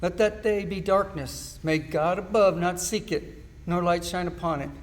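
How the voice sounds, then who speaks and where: A man's voice: high-pitched, normal speed, natural voice. A man playing an anguished, bitter suffering man in an amateur church Bible drama, reciting in a declamatory way.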